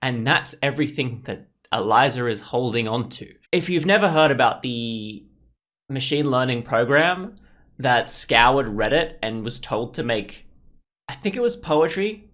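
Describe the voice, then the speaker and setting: A man's voice: mid-range voice, expressive, a little slow, a bit bright. A man playing through a story game, casually commenting and reading its text aloud, often thinking aloud. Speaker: gaming YouTuber.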